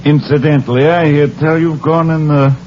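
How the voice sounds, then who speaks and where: An actor playing a smug, mockingly menacing saloon-owning gang boss in a 1940s radio Western, with a drawling delivery. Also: male voice, a little high, flat delivery, moderate pace, a little mellow, natural voice. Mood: mocking, confident. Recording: muffled, noisy 1940s radio broadcast.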